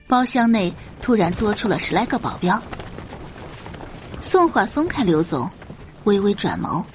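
A woman reading scripted, dramatic audiobook narration as the narrator of a story. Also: mid-range voice.

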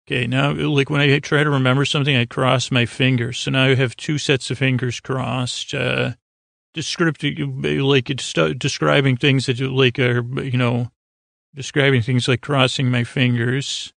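A man hosting a bedtime podcast in a rambling monologue, stammering and derailing himself, with a gravelly, creaky voice. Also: mid-range voice, flat delivery, normal speed, fairly bright tone, dry recording, studio quality. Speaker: podcast host.

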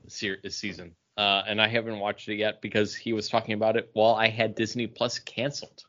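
A man co-hosting a podcast, chatting casually in an animated, rambling way. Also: fairly low pitch, fairly quick. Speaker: podcast co-host. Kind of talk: casual pop culture and beer chat. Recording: dull, lo-fi podcast audio.

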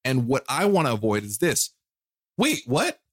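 A man's voice: medium pitch, normal speed, no room sound. A man chatting on a podcast, with a voice that leaps up and down as he jokes and acts out other people's lines. The sound is dry and close, with no room around it. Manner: animated, jokey, acts out quoted people. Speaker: podcast co-host. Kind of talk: casual chat.

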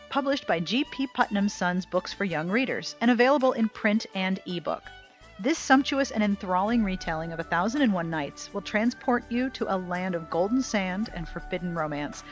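An American woman hosts a podcast with a chatty, joking manner, full of quick asides. Her voice sits at an ordinary middle pitch, and soft music plays faintly behind her. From United States. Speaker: podcast host. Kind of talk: casual podcast chat and read intro.

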